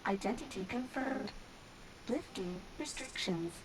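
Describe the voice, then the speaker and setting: A woman's voice: medium pitch, lively intonation, measured pace, close to an everyday voice, poor audio. A woman voicing an impassive machine system in a video game, speaking in clipped procedural phrases. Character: impassive female machine system reading out verifications. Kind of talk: video game character acting. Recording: loud ambient noise.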